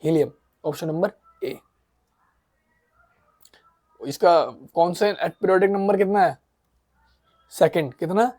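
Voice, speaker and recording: A hoarse man teaching an exam-prep chemistry lesson, slowly reading out each question and then asking and answering his own questions. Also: high voice, dark tone, no echo, clean studio sound. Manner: explains in Hindi, reads questions aloud in English, asks and answers his own questions.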